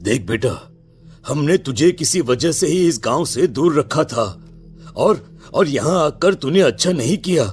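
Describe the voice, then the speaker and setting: A man's voice: a little high, expressive, a little slow, husky, faint music behind. A man playing an anxious, protective village headman and father, speaking earnestly and hesitantly in a dramatized story. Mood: stern. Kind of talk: Hindi audio story acting.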